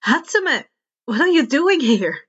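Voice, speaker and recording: Woman playing an earnest, high-voiced teenage boy. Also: sing-song, unhurried, a bit bright, dull audio, full character voice. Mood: surprised. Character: earnest, awkward teenage boy, secret vigilante.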